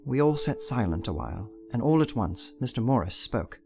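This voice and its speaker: A man with a creaky voice reading a diary as dramatised, scripted narration. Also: mid-range voice, big pitch swings, moderate pace. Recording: music behind the voice.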